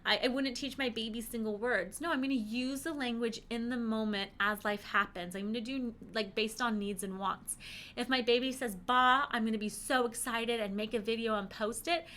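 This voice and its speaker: A woman giving an upbeat, chatty lesson to parents, encouraging and animated, with frequent rhetorical questions. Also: a little high, moderate pace. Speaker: presenter teaching parents. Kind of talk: upbeat conversational instructional talk to camera.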